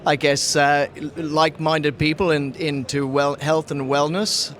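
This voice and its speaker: A man's voice: a little high, level tone, a little slow, fairly bright tone. A man with an Irish accent, who grew up in Ghana, giving a casual interview and often restarting his sentences as he searches for words. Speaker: interview guest, sells to mining industry. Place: trade conference floor. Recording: busy conference crowd behind.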